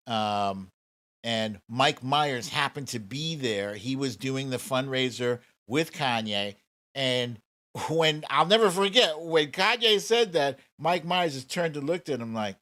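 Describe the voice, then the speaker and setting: A man's voice: medium pitch, fairly bright tone. An American man hosting a livestream, giving casual, informal political commentary with emphatic repetition. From United States. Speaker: livestream host.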